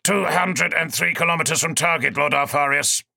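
Clinical machine-like tech-priest, flat, precise, bright-edged tone from a male reader. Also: fairly high pitch, moderate pace, creaky, dry recording, pitched above the performer's own voice, heavily acted. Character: cold mechanical alien male tech-priest, literal.

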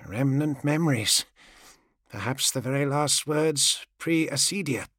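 Man playing a wry, imperious commander, lively intonation. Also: mid-range voice, husky, no echo, studio quality, full character voice. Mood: calm, wry. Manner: wry, pompous, measured. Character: calm, imperious, sardonic commander with archaic diction.

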